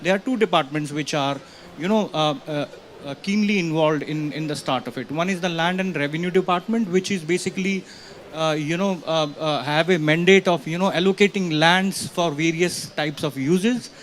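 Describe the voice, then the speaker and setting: A man asks a question at a panel event, haltingly, often padding with "you know". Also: on the high side. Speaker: audience questioner. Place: conference pavilion with small audience. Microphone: handheld event microphone.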